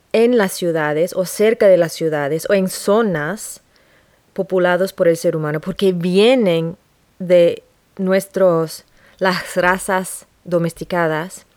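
A woman talking on her podcast in an unscripted monologue, halting, with frequent restarts and pauses. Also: lowish voice, darkish tone, dry recording.

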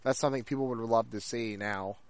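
A man's voice: medium pitch, steady tone, fairly quick, crowd noise. A man co-hosting a podcast in casual chat about anime, rambling along and acting out things people said. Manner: rambling, acts out remembered remarks. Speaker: podcast co-host.

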